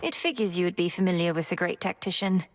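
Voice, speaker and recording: A woman voicing a determined young witch princess in a video game, speaking in formal, measured, old-fashioned phrasing. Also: on the low side, natural voice. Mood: wary, formal.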